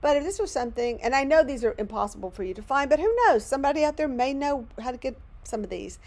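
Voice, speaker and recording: A middle-aged woman talks through her craft project in a loose, rambling way, poking fun at herself as she goes. Her voice is hoarse and worn, close to giving out. She sounds like she's chatting with friends from a cluttered home studio. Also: high voice, animated, fast talker, darkish tone. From Louisiana. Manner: chatty, rambling, self-deprecating. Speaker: craft channel host. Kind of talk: casual crafting narration.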